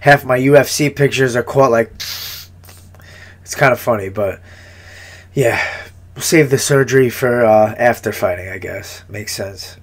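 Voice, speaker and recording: A man's voice: medium pitch, unhurried, pauses often. A man who is a fighter giving a casual, rambling fight breakdown, with a congested, nasal voice and frequent sniffling. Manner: rambling, repeats words, swears casually.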